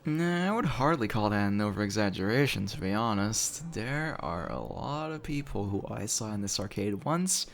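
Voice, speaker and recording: Man acting a low, gravelly, flirty arcade nerd. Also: very animated, moderate pace, few pauses. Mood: amused, easygoing. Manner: casual, teasing, chuckles often, slightly bashful. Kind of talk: intimate audio roleplay, one-sided casual dialogue. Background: music.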